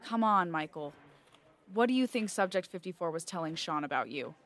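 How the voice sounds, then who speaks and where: A woman playing a firm, calmly coercive corporate official with an American accent in an audio drama. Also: fairly low pitch, a little fast. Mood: firm, cold.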